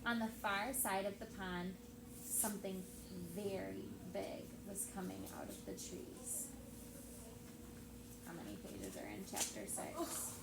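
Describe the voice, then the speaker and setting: A woman teacher reading a story aloud to children in an expressive storytelling style. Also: mid-range voice, slow pace, vocal fry. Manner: expressive, acts out dialogue. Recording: echoey room with steady background noise.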